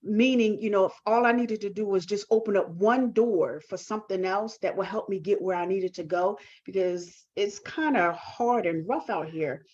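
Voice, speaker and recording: A woman giving reflective interview answers as a guest, speaking earnestly and haltingly with repeated words. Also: mid-range voice, darkish tone, muffled recording. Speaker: talk show guest, performing artist.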